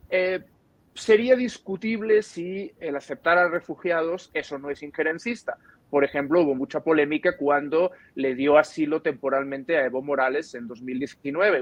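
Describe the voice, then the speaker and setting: A man's voice: on the high side, dry recording, dull audio. A man with an accent from Spain gives explanatory commentary as an interviewed analyst, choosing his words carefully and qualifying his points. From Barcelona, Spain.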